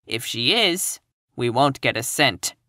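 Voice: medium pitch, expressive, takes it slowly, pushed and bright, no echo, studio quality. A narrator voicing a frank, gossipy teenage country girl in a storybook, matter-of-fact and confiding.

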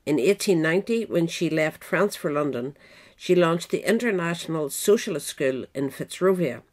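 A woman's voice: low-pitched, animated, normal speed, a little mellow. A woman narrator reading a scripted biographical essay aloud. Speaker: narrator, reader.

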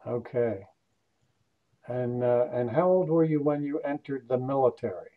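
A man who runs oral history interviews, asking measured, polite questions over a video call. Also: medium pitch, narrow, tinny audio. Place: Moorestown, New Jersey.

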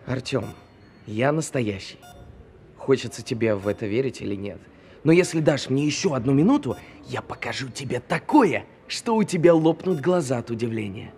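An actor playing a kindly, earnest young amateur entertainer in costume in an audio drama. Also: male voice, medium pitch, animated, moderate pace, creaky, darkish tone.

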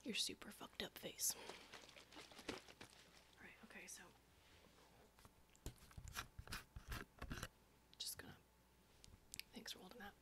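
A woman's voice: medium pitch, steady tone, unhurried, natural voice. Whispering, sardonic woman as a female apocalypse survivor. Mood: teasing, blunt. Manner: sweary, sardonic, casual rambling. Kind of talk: ASMR horror roleplay, whispered.

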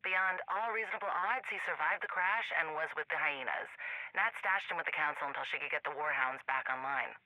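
Casting: a sardonic, worn-down contractor's daughter, very sharp bright voice, close dry radio comms, game dialogue. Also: female voice, deep voice, fairly quick, dry recording, poor audio. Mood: matter-of-fact, wary. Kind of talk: naturalistic video game dialogue.

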